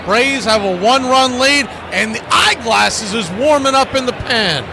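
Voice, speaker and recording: A man's voice: very high voice, animated, normal speed, crowd noise, noisy background. A man doing live radio-style baseball play-by-play in clipped, punchy phrases with folksy slang.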